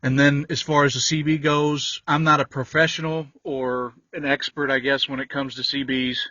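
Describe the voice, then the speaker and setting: A man's voice: medium pitch, flat delivery, moderate pace, pushed and bright. A man giving a casual, folksy handheld walkthrough, talking informally and rambling a little as he explains things. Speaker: vehicle DIY video creator. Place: in and around a pickup truck cab. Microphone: handheld phone or camera. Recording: dull lo-fi audio, roomy echo.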